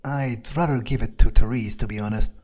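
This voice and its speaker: A man's voice: mid-range voice, steady tone, fairly quick, a little mellow, soft background music. A man doing in-character game playthrough commentary, thinking aloud hesitantly and swearing bluntly. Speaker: gaming video creator.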